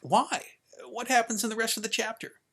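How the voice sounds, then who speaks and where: A man voicing an upset, stubborn young boy in a story, emotional, insistent and halting as he pleads with his father. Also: a little high, fairly bright tone. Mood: frustrated.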